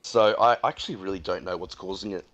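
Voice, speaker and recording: A man's voice: deep voice, quick pace, fairly bright tone. A man chatting casually on a gaming podcast, blunt and complaining, with loose filler-heavy talk over a headset.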